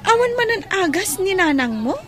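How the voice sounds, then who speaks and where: A woman plays a chatty, sympathetic girlfriend-next-door in a radio play. Her high Filipino-accented voice sits over crowd bustle. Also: sing-song, brisk, a bit bright, full character voice. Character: sympathetic, chatty young friend, female. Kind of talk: Filipino radio drama acting.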